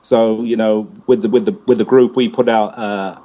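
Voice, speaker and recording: Middle-aged male guest, lowish voice, talking off the cuff with repeated words and hesitations. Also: level tone, normal speed, warm tone, muffled recording. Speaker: guest, music promoter and manager. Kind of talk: conversational interview answer.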